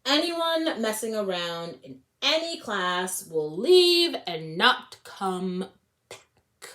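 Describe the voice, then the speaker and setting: Stern, clever woman teacher of magic voiced by a female storybook reader, very measured, weighty delivery. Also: medium pitch, big pitch swings, a bit bright. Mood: stern warning. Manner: stern, deliberate, drawn-out warning.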